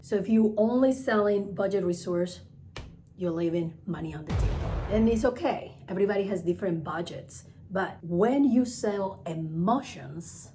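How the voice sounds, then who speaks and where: A woman's voice: fairly low pitch, dark tone. A woman who is a native Spanish speaker with a Spanish accent, giving an instructional talk as a trainer, emphatic and repeating key phrases. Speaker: travel agent and group trainer. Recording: clearly noisy recording with ambient sound.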